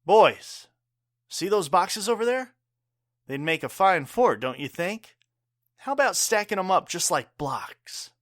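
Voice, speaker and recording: A man's voice: sits high, sing-song, measured pace, no echo, clean studio sound. An actor playing a proud, wounded war veteran father and bartender in an audio drama, speaking earnestly and tersely. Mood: wary.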